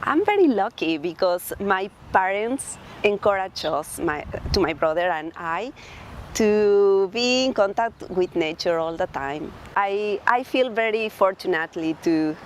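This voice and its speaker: A woman speaking haltingly in an interview, repeating words as she reflects on her childhood. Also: mid-range voice, animated, a little hiss or hum.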